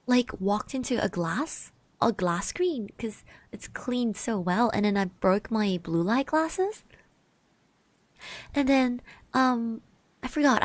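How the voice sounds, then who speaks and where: A woman with a fairly high, soft voice plays a sweet, shy classmate in an ASMR roleplay. She speaks very slowly and gently, hesitating and often sinking into a breathy whisper. The sound is close and dry. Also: dry recording. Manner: hesitant, gently reassuring, speaks of herself in third person. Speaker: ASMR roleplay performer, streamer. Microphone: close microphone.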